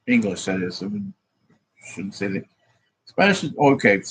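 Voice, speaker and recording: A man's voice: high-pitched, very animated, moderate pace, gravelly, a bit bright, husky, poor audio. A man rambling in a profane, meandering monologue, thinking aloud as he works through sums. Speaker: vlogger.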